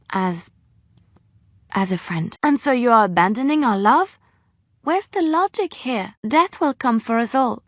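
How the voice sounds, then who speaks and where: A young adventuress from a fantasy video game, romantic and teasing. Her phrasing is soft and expressive, coy one way and earnest the other. The pitch sits mid-range, in a very dry studio sound. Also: no echo. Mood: tender, sad, then hurt, angry. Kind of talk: video game character dialogue.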